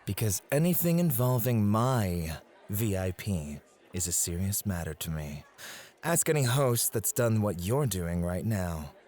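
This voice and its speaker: A man's voice: lowish voice, very animated, a little slow, darkish tone. A man acting in an ASMR roleplay as a possessive, doting club host with an affectionate, teasing way of talking. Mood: possessive, serious.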